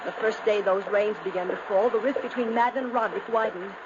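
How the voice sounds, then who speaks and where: An actress playing an anguished, fearful gentlewoman in a 1950s radio drama, earnest and imploring. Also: medium pitch, fast talker, lightly acted. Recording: muffled, noisy 1950s radio recording.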